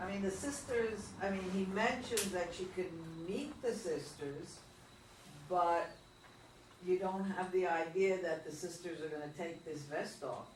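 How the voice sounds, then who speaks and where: A man's voice: sits high, a little slow. A man in a discussion group questioning a plot point with skepticism. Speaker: audience member in discussion. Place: theatre room. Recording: roomy, distant, noisy room recording.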